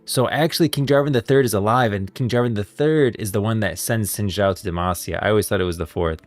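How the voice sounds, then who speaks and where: A man's voice: lowish voice, fast, no room sound, faint music behind. A man streaming casual live commentary on game lore, rambling and repeating phrases as he reasons aloud.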